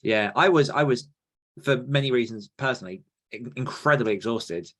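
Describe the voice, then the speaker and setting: A man hosting a podcast, talking casually in an informal, somewhat halting way. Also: medium pitch, a bit bright. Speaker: podcast host.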